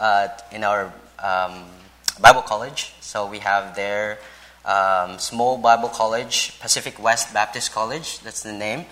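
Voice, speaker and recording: Male church speaker, thirties, Filipino accent, non-native English. Unhurried, halting delivery with a sharp, bright tone. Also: fairly low pitch. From Philippines. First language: a Philippine language. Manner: halting, frequent pauses and repeated words, polite. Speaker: visiting preacher, Bible college vice president. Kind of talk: church sermon introduction and scripture reading.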